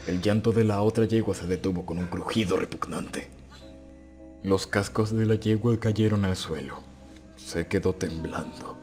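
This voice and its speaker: A man narrates a dramatic story from a script. His voice sits on the low side for a male narrator. Music plays clearly behind him throughout. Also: mellow.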